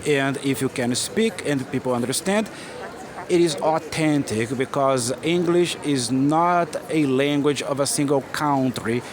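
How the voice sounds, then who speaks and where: A man's voice: mid-range voice, unhurried, crowd noise. A Brazilian man, a native Portuguese speaker, giving an earnest, encouraging English lesson outdoors and repeating his key phrases. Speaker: English teacher. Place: busy city street outdoors. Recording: noisy outdoor street recording. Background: street noise and traffic.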